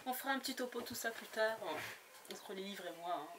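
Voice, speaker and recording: A woman's voice: medium pitch, expressive, a little fast. Woman, book haul vlogger, chatty, giggly, noisy home recording. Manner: chatty, giggly, many fillers and restarts. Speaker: book blogger. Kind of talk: casual book haul vlog.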